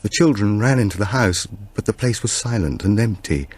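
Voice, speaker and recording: Man voicing an earnest older woman, pitch lifted. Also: animated, fairly quick, darkish tone, husky. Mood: earnest, sombre. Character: grey-haired woman preschool head, earnestly recounting a discovery.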